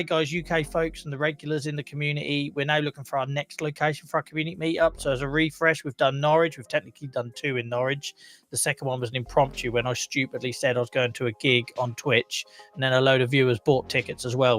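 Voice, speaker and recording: A young man with a croaky voice hosting a live chronic pain talk show, chatting casually to his viewers with many fillers and laughs. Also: on the high side, fairly bright tone.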